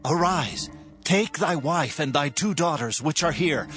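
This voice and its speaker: A man's voice: on the high side, expressive, a little slow, fairly bright tone. A man voicing a commanding angel. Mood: urgent. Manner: urgent, commanding. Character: solemn angel, urgent and commanding. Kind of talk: dramatized Bible reading. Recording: voice over clear music.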